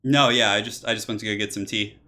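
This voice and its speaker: A young man casting a strategy game match solo, casual and rambling, his voice slightly raspy from allergies. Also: lowish voice, steady tone, quick pace, pushed and bright. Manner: rambling, casual, laughs and exclaims at plays. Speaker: esports caster and channel host.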